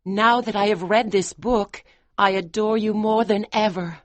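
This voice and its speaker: A man's voice: high-pitched, steady tone, a little slow, no echo, pitched above the performer's own voice. A man voicing a devoted, resolute young wife in a raised, pleading storybook delivery. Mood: adoring.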